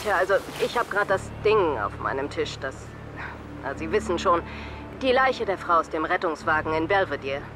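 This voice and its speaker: Hesitant, grim colleague male actor, higher-pitched voice, audio drama, clear ambient noise. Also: lively intonation, moderate pace, lightly acted.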